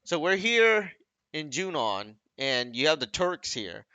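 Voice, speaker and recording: Bright-voiced man rambling through game commentary. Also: on the high side, very animated, slow, clear, resonant tone, dry recording, highs cut off. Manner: rambling, second-guesses his own wording. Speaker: gaming video creator. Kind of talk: casual off-the-cuff game commentary.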